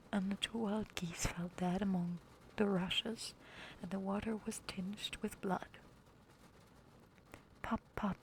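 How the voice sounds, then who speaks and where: A woman reads an old fairy tale in a hushed, unvoiced whisper, with a gravelly edge. Her pitch sits in the middle range. A faint hiss of noise stays under her voice throughout. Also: poor audio. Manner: soft whisper, stops to apologize and laugh. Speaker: reader. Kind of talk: whispered storybook reading.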